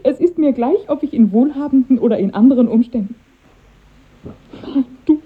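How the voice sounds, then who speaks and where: An actress playing a lively, emotional young wife with a breathy voice in a 1950s German radio drama. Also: female voice, high-pitched, normal speed. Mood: excited, amused. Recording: thin, muffled old radio recording.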